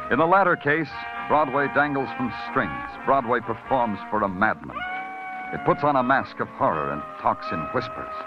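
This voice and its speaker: A man's voice: mid-range voice, sing-song, moderate pace, lightly acted. An actor playing a terse, hard-boiled male police detective who narrates in 1940s radio drama style. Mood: grim. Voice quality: brooding, clipped delivery. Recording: dull old radio recording with music.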